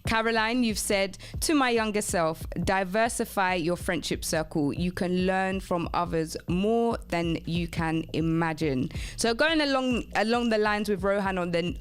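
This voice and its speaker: Clear-voiced woman hosting a radio show. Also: on the low side, unhurried, soft background music. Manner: chatty, encouraging, addresses listeners by name. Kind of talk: live radio show chat, reading out listener comments.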